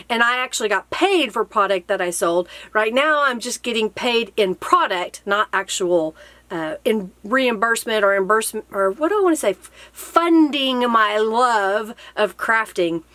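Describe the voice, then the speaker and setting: A woman's voice: on the high side, a bit bright, dry recording. A middle-aged woman giving casual instructional advice to camera in an explanatory way, often posing questions and then answering them. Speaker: craft video host and blogger. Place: small cluttered indoor craft room.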